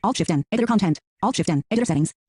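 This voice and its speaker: Synthetic screen reader: robotic, flat announcements at breakneck speed, completely dry sound. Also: medium pitch, rapid-fire, breathy edge, no echo. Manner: flat robotic reading of interface labels.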